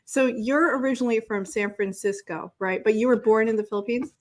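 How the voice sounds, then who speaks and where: A woman's voice: fairly high pitch, fairly quick. A woman hosting a casual online interview, speaking in an informal, chatty, encouraging way. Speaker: show host interviewing a guest.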